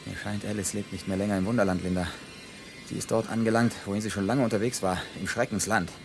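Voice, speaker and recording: A man's voice: lowish voice, steady tone, fast talker, over loud music, lightly acted. An actor playing a tense, commanding and bitterly sarcastic man facing deadly danger in a science-fiction radio drama. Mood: bitterly sardonic.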